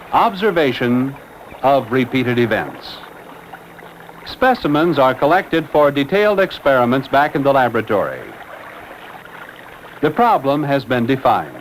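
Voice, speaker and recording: Guy narrating an old 60s school science film, formal and measured, mid pitch, bit of noise on the old soundtrack. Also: low-quality recording. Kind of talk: 1960s educational film narration, scripted.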